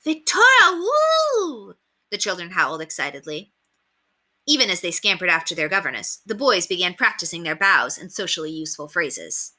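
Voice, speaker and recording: A woman narrating a children's story aloud in an expressive read-aloud style. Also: fairly low pitch, a little fast.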